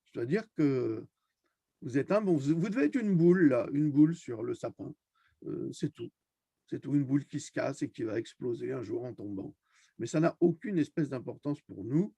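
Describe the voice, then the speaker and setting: A man's voice: mid-range voice, expressive, normal speed, creaky, warm tone, slightly rough, dry recording. A man hosting an online discussion, speaking in an impassioned, scornful rant and repeating phrases for emphasis. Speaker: host of an online video discussion.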